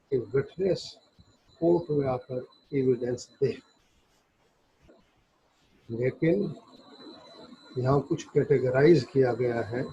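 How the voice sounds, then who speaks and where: A muffled, lo-fi recording carries a man teaching law in a mellow, husky voice. He moves very slowly and methodically, breaking his explanation into short, separate phrases. He switches between Hindi and English as he reads and explains. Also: medium pitch. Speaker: law lecturer. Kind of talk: explanatory lecture, reading and explaining legal text.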